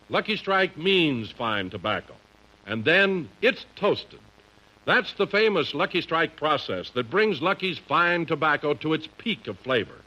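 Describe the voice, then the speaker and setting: Bright, animated male radio announcer. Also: a little high. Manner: emphatic, polished salesman delivery. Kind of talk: 1950s sponsor announcement, scripted. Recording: muffled old radio recording.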